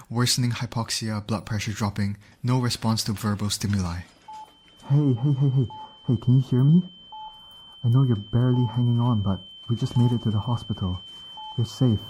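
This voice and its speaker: A man's voice: mid-range voice, fairly even intonation, normal speed, lightly acted. A man playing an urgent, caring field medic in an audio roleplay, pleading and commanding. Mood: grave, urgent. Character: urgent, caring male field medic fighting to save a patient. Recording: clearly noisy, ambience behind voice.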